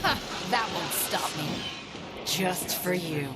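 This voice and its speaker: A woman voicing a corrupted, vengeful young witch in a video game, with a menacing, theatrical, drawn-out delivery. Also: on the low side, animated. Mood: menacing, mocking. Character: corrupted, vengeful young witch taunting her former friends. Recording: loud music over the voice.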